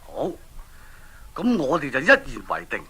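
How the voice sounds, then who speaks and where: A man's voice: mid-range voice, huge pitch swings, normal speed, noisy background. A man with a Hong Kong Cantonese accent playing a grave king who sets a perilous quest in a children's audio drama. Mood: solemn challenge.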